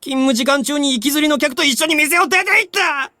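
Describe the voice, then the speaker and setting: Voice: high-pitched, racing, sharp tone, no room sound, clean studio sound. A voice actor playing a frantic, panicky young male friend in a Japanese visual novel.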